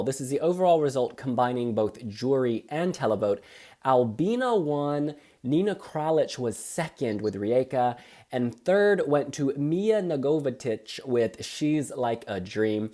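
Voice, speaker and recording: A woman with a strikingly deep voice co-hosts a relaxed review chat. Her talk is chatty and opinionated, and her pitch rises and falls a great deal. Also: a little slow. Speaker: co-host.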